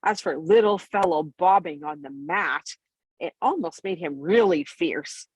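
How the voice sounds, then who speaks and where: Bedtime storyteller, female: lowish husky voice, animated intonation, expressive, recorded dry. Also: measured pace, rough recording. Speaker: storybook reader.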